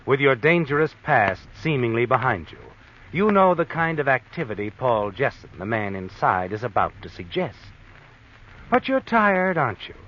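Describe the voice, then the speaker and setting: An actor playing an eerie all-knowing American narrator of a vintage radio mystery, speaking in an ominous, taunting way. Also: male voice, medium pitch, sing-song, a little hiss or hum, close to an everyday voice. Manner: knowing, taunting, dramatic swoops and pauses. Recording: dull vintage radio recording with music.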